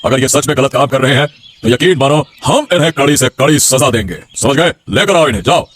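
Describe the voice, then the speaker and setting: A man's voice: medium pitch, expressive, fairly quick, fairly bright tone. A man voicing an authoritative, fatherly king in a cartoon moral story, with a commanding manner. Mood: stern.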